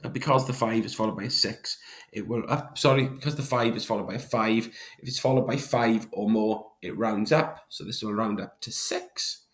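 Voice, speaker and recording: A male maths teacher with a hoarse voice from a sore throat, talking students through a revision sheet step by step. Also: fairly low pitch, moderate pace. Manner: step-by-step explanations, checking with "right?". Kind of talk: recorded revision walkthrough.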